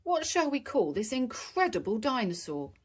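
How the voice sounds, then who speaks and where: A woman's voice: a little high, very animated, moderate pace, slightly rough, pitched above the performer's own voice. A woman voicing a proud adult male rabbit expert in a children's storybook, making emphatic announcements.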